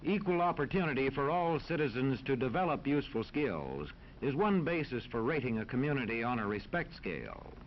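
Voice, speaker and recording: An American man narrating a scripted 1940s educational film in a formal, authoritative, measured manner. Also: mid-range voice. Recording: dull 1940s film soundtrack, faint noise.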